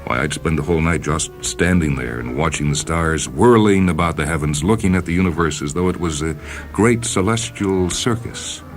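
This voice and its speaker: A man's voice: very deep voice, moderate pace. A man voicing a lonely castaway spaceman who wistfully longs for the stars in a radio story. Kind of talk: dramatic radio story reading. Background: music.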